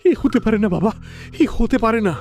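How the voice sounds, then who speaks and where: Cartoon village elder, played by a man: frightened, grave, halting delivery, pitch lifting and falling, music faintly behind. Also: lively intonation, darkish tone. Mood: alarmed, grave. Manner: halting, urgent, dramatic warning. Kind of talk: dramatic cartoon voice acting.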